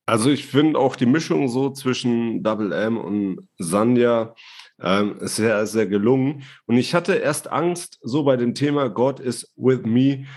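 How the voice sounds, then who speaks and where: A man's voice: medium pitch, measured pace, darkish tone. A man co-hosting a casual rap review chat, laid-back and slangy with many hesitations. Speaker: podcast co-host reviewing rap releases.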